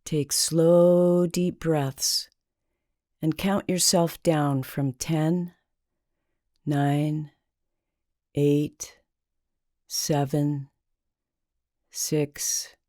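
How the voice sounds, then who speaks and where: Slow, low, mellow woman guiding meditation. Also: dry recording, clean studio sound. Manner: slow, calming, deliberate with long pauses. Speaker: meditation guide. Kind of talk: guided meditation narration.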